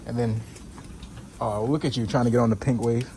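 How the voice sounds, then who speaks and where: A man's voice: medium pitch, steady tone, fairly quick, crowd noise. A young man in his twenties bantering playfully with classmates in a dental school lab, filmed on a handheld camera. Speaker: vlogger, dental student. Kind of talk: casual chat with classmates in a lab. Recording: noisy lab ambience.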